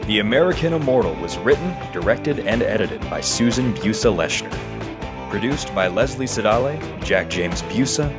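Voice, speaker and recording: Man, slightly low voice, scripted credits announcing, loud guitar music behind.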